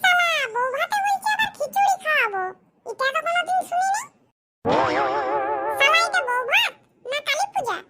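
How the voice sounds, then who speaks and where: A performer voicing a crude, self-pitying village boy who grumbles in rustic slang in a comedy cartoon, with a pitched-up voice. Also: lively intonation, moderate pace, long pauses, heavy background noise. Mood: mocking disbelief. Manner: muttering complaints in rustic slang.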